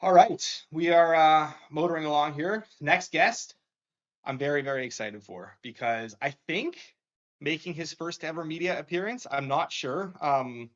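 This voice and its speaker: A man's voice: a little high, moderate pace. A man hosting a casual sports betting show, upbeat and laughing, with many fillers. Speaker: show host. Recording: dull lo-fi sound.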